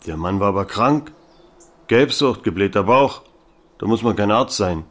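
An actor playing a gruff, officious small-town policeman in an audio drama, laconic and bossy. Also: fairly low pitch, expressive, normal speed. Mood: matter-of-fact.

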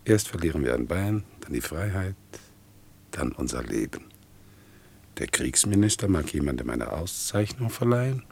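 Very deep, gravelly old prisoner. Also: male voice, very animated, normal speed, a little mellow, heavily acted. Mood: brooding, bitter. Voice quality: gravelly, husky. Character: worn, bitter, defiant one-legged old war veteran and prisoner. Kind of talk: 1960s German radio play acting. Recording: 1960s radio play recording.

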